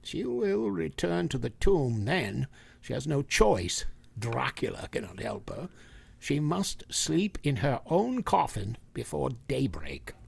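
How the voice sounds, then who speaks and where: An actor playing a grave, commanding older professor who hunts vampires in a vintage radio drama, speaking urgently and deliberately. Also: male voice, mid-range voice, very animated, gravelly, husky, no room sound, exaggerated voice. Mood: grave, reassuring.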